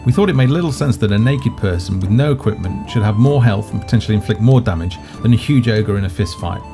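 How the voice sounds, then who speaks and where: A man presenting a video game in scripted walkthrough narration, measured and explanatory. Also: lowish voice, lively intonation. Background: background music.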